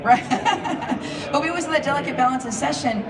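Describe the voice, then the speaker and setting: A woman voice director moderating a convention panel, brisk and enthusiastic. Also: high-pitched. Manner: brisk, enthusiastic, peppers talk with quick agreement. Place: large convention hall stage. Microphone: heard through a phone from far back in the audience. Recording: distant, echoey phone recording in a crowd.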